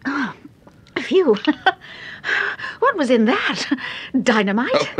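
A woman's voice: high voice, big pitch swings, very slow, breathy edge, exaggerated voice. An actress playing a jumpy but wry married woman in a vintage radio drama. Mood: shaken, wry. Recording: faintly noisy old radio recording.

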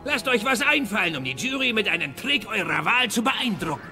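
An actor playing a gruff, brash Viking trainer who barks instructions like a showman in an animated radio play. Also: sits high, brisk, faint music behind, exaggerated voice. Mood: brash, announcing.

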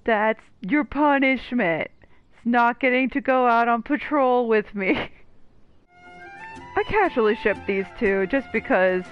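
A woman's voice: on the high side, animated, slow pace. A woman streamer giving casual commentary on a game and reading dialogue aloud. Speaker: gaming streamer. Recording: dull, muffled mic over game music. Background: game music.

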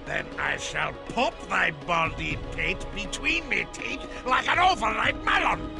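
A gruff, feral vampire for a fantasy game. The voice is fairly high and thick with gravel, threatening in old-fashioned speech over background music. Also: male voice, animated, unhurried, full character voice. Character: savage, gruff male vampire brute, bare-chested and bearded. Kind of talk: archaic video game acting.

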